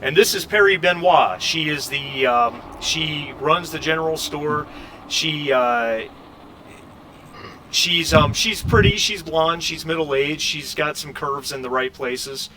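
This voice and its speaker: A male game master with a bright, forward voice improvises scenes for his players. He pauses and stretches words as he thinks, in a casual table-talk style, with faint noise behind him. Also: fairly high pitch, low-quality recording.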